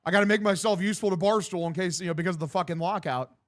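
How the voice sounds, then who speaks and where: A man's voice: high-pitched, racing. A man in his twenties livestreaming casual baseball play-by-play and chat, talking in a slangy, profane, bro-like way. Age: 20s. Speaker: sports streamer calling a baseball game and answering chat.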